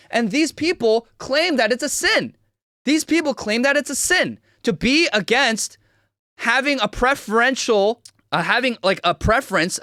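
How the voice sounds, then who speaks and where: A man's voice: very high voice, pushed and bright, dry recording, clean studio sound. A young man of Korean origin giving a heated, repetitive livestream commentary rant as host. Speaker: streamer host.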